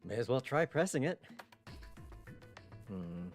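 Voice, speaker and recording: Casting: man as doubtful young game protagonist, mid-pitched, animated intonation, hesitant muttering. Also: slow pace, soft background music. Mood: puzzled. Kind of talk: visual novel character read aloud.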